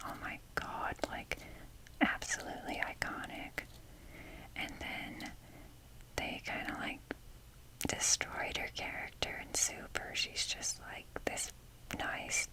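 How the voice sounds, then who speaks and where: A woman whispering in a breathy voice as she rambles casually and wryly in an informal, fannish ASMR style. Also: poor audio. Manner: informal, fannish, wry, mildly sweary. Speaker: ASMR video creator showing a toy collection.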